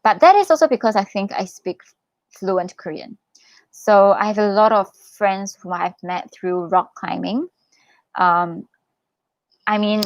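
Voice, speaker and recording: A young woman hosts a casual livestream, reading questions and answering them in a chatty, expressive way. Her voice sits at a middle pitch for a woman, in a dry, close sound with little room echo. She gives advice in a reassuring, informal manner. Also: vocal fry. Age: young adult. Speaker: livestream host, international student in Korea.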